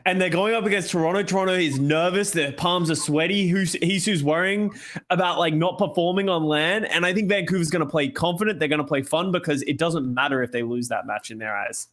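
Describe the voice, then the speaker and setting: A man's voice: fairly high pitch, fairly quick, fairly bright tone. A man on an esports podcast panel giving confident, opinionated predictions in casual conversation.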